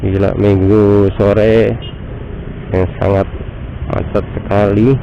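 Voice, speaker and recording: Motovlogger, male, slow easy patter, blocked-up nasal voice, dull action-cam audio. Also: on the low side, creaky, slight background noise. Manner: relaxed, chatty, unhurried. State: nasal from a cold. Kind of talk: casual motovlog chat while riding. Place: on a motorbike in city traffic. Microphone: action camera mic.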